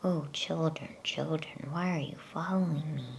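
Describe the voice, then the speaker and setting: A woman voicing a weary, sad, kindly male lion in a grave, hushed whisper for a storybook. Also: low-pitched, a little slow, creaky, slight background noise. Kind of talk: whispered ASMR storybook reading.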